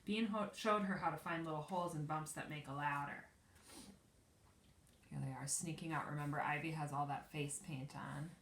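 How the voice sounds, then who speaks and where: A woman teacher reading a children's storybook aloud expressively, pausing to explain the pictures. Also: sits low, fairly even intonation, a bit bright, slight background noise. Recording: echoey room, distant from mic.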